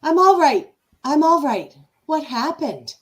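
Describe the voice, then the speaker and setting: A woman's voice: high-pitched, big pitch swings, takes it slowly, heavily acted. A woman playing a calculating male starship officer in an amateur podcast radio play, with theatrical delivery. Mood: shaken. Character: male starship first officer, smooth, calculating military man.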